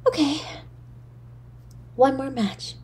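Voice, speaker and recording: A woman voicing a poor, lonely little girl in an audio drama, halting and breathy, with childlike stammering. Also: high-pitched, fairly even intonation, a little mellow. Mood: hushed wonder. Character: poor, lonely, freezing little match girl, timid and yearning. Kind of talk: solo audio drama monologue.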